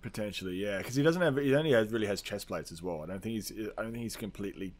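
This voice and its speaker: A man's voice: fairly low pitch, rapid-fire. A man hosting a podcast, chatting casually and arguing his points in a blunt, sweary way. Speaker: podcast host. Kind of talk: casual chat, debating film characters.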